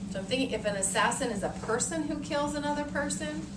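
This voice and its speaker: An American woman in her thirties, an elementary teacher reading aloud to young children in a deliberate, expressive way, thinking aloud in simple words. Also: sits high. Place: elementary classroom, indoors. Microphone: far camera. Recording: noisy echoey classroom, distant camera mic.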